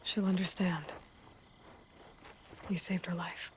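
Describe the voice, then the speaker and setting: A woman's voice: fairly low pitch, flat delivery, slow pace, a little hiss or hum, low-quality recording. A young woman playing a determined huntress in a video game cutscene, wry and matter-of-fact. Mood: soft, thoughtful. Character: determined young huntress in post-apocalyptic wilds.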